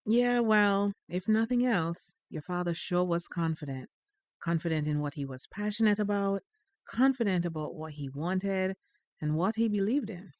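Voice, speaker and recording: A woman's voice: medium pitch, normal speed, a little mellow, no room sound, natural voice. A woman playing a firm, protective mother of a teenage boy, pointed and motherly, in a naturalistic audio drama. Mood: reflective.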